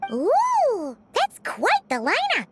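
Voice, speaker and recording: A tiny fairy companion from a video game, childlike and squeaky. The pitch is extremely high and bouncy, the pace very slow and the temper cheerful and curious. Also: female voice, huge pitch swings, over loud music, full character voice. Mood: cheerful, impressed.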